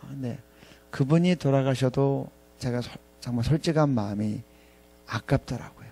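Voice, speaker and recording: A man in his fifties delivers a reflective chapel sermon. His voice is mellow and creaky, sitting at an average pitch. He speaks haltingly, pausing often and circling back to repeat phrases. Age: 50s. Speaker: professor preaching at a ministry staff chapel. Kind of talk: reflective sermon with scripture readings.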